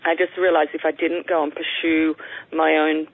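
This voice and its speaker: An Australian woman recounts her path as an artist, pausing often with ums. The sound comes through a thin, tinny phone line. The room is quite dry, with little echo. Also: sits low, level tone, creaky. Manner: reflective, frequent ums, unhurried. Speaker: interview guest, professional artist. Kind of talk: conversational storytelling.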